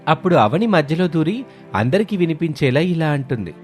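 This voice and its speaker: A man's voice: a little high, very animated, brisk, faint music behind. A man narrating a scripted moral story.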